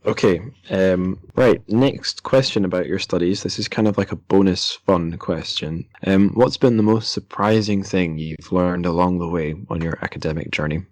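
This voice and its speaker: A man hosting a casual podcast interview, speaking in a hesitant, halting way. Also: deep voice, darkish tone, dry recording. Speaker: podcast host.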